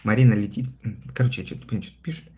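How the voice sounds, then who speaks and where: A man's voice: fairly low pitch, rapid-fire, a little mellow. A man in his thirties chatting casually on a livestream, reading viewer comments aloud and answering them between laughs. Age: 30s. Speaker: live streamer reading viewer chat.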